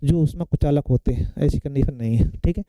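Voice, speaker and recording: A man's voice: on the high side, brisk, dark tone, dry recording. A man giving an exam-prep science lecture, explaining step by step and often checking in with short tag questions. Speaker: science teacher for competitive exam students. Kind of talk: classroom lecture working through exam questions.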